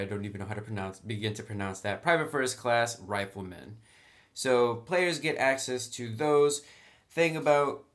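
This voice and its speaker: A man's voice: medium pitch, fairly bright tone. Man giving an informal game review. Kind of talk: informal product review commentary.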